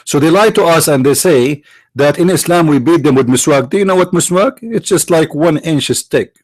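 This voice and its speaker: A man whose first language is Arabic, from the Middle East, arguing in a sarcastic, mocking tone and slowing down to stress quoted phrases. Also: on the high side, lively intonation, no room sound. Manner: sarcastic, mocking rhetorical questions. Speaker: apologist speaker. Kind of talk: argumentative lecture.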